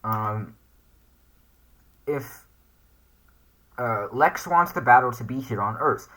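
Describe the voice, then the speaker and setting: Bright-voiced man reacting casually. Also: medium pitch, lively intonation, slow. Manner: hesitant, informal, thinking aloud. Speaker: YouTube reaction host. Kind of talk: casual reaction commentary, reading screen text aloud.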